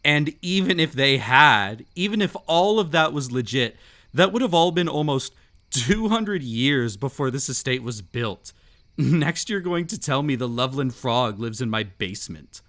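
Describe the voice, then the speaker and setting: Mysterious stranger played by a man hosting a haunted manor in roleplay audio: mid-pitched, very expressive, teasing, quietly sinister storyteller. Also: natural voice. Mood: amused disbelief. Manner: teasing, long-winded storyteller, slow dramatic pauses. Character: mysterious teasing host of a haunted glass-filled estate, secretly sinister. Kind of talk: immersive roleplay audio, storytelling addressed to the listener.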